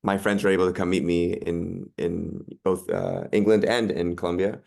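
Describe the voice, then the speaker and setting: A man in his thirties, a podcast guest, talking in a relaxed way with lots of ums and likes. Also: low voice, normal speed, vocal fry. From Canada. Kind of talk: casual interview chat.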